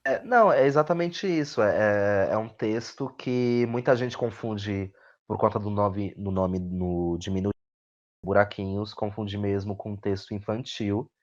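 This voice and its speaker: A man's voice: on the low side, measured pace. A man with a Brazilian Portuguese accent talking reflectively as an interview guest, often repeating words and adding tag questions. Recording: dull, lo-fi audio.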